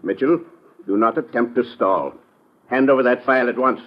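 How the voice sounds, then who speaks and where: An actor playing a menacing, imperious foreign agent posing as a professor, speaking formally and precisely in vintage radio-drama style. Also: male voice, mid-range voice, normal speed, natural voice. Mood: threatening. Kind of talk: 1950s radio drama acting. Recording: muffled vintage radio recording.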